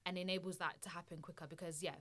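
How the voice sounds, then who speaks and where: Guest, woman from Somalia; low-pitched, casual, thoughtful, filler-heavy delivery. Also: steady tone, fast, a bit bright. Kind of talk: casual conversation.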